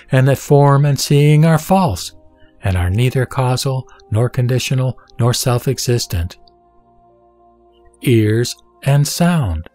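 A man reading Buddhist scripture from a script in a measured, didactic manner. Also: mid-range voice, warm tone. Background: background music.

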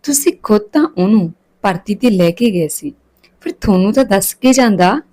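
A grudging older brother played by a woman storyteller, blunt and resentful. The reading is narrated-story dialogue at a woman's medium pitch. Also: lively intonation, normal speed, darkish tone, no echo, close to an everyday voice.

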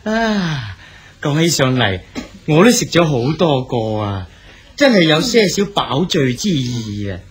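Genial older scholar-poet, unhurried. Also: male voice, a little high, sing-song, husky, heavily acted. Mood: contented, savouring. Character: genial older father, celebrated poet-official in old China. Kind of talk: Cantonese radio drama acting.

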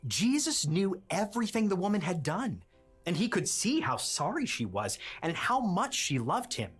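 A woman playing a friendly mail carrier who tells children Bible stories in simple, emphatic, expressive phrases. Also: fairly low pitch, normal speed, slightly rough, faint music behind. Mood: earnest storytelling. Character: friendly mailman storyteller, addressing children as friends.

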